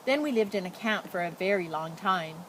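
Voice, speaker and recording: A woman's voice: lowish voice, animated, moderate pace, close to an everyday voice, poor audio. A woman voicing a sad young refugee schoolboy, telling things plainly and earnestly in a storybook. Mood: sombre recounting. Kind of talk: picture-book read-aloud. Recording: noticeable background noise.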